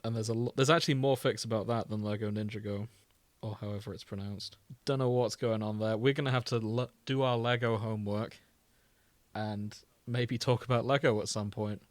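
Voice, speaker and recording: A man's voice: lowish voice, fairly even intonation, brisk, dry recording. A man co-hosting a podcast in casual chat, telling stories with mock quotes. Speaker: podcast co-host.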